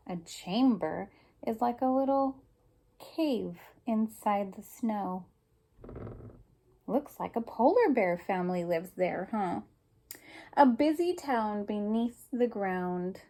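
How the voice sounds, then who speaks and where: A woman's voice: medium pitch, mellow. A woman teacher reading a picture book aloud to young children, explaining simply and prompting them with questions.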